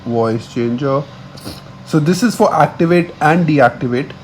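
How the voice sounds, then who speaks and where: A man's voice: fairly high pitch, measured pace, low-quality recording. A man with an Indian accent, a native Hindi speaker, giving a casual step-by-step tech tutorial. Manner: switches between English and Hindi. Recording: steady background hum, home recording.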